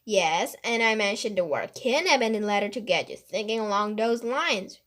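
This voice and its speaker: A woman voicing a mischievous woman mystery writer in a storybook, explaining with animated emphasis. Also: mid-range voice, moderate pace, close to an everyday voice. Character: playful, mischievous woman mystery author confessing her scheme.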